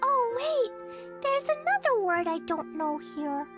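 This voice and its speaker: A woman's voice: very high-pitched, big pitch swings, takes it slowly, full character voice. A woman voicing a cute, eager, curious little girl in an anime game, with an earnest, inquisitive manner. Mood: curious, unsure. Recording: music behind.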